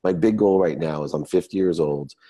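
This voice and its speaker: Very deep-voiced man of fifty, motivational speaker. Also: fairly quick, warm tone, husky, no echo. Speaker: guest, motivational entrepreneur and speaker. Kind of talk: interview answers, motivational explanation.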